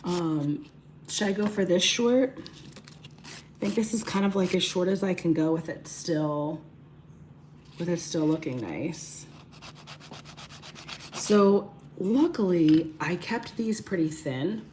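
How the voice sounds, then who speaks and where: Hoarse, raspy woman vlogger. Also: on the low side, takes it slowly, pauses often, darkish tone, slight background noise. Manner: chatty, greets viewers by name mid-task. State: hoarse, losing her voice. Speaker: beauty livestream host. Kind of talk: casual livestream nail tutorial.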